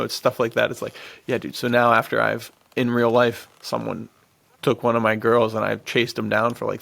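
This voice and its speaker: A man podcast co-host with a gravelly, creaky voice, chatting in an informal, rambling way and often saying "dude". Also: mid-range voice, a bit bright, dry recording. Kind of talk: casual chat about adventure fiction.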